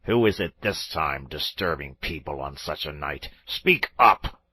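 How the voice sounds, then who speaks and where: A man voicing a gruff, suspicious old male badger in a storybook reading who is kindly underneath. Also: rumbling low, normal speed, fairly bright tone, a little hiss or hum, pitched below the performer's own voice. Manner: gruff and suspicious, then paternal.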